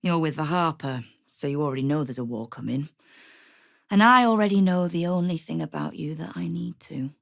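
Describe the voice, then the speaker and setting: A woman's voice: deep voice, brisk, vocal fry, no echo, clean studio sound. An actress playing a tough, sardonic woman who runs a crime guild in a video game, speaking in a wry, commanding, menacing way. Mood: firm, commanding. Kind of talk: dramatic video game character dialogue.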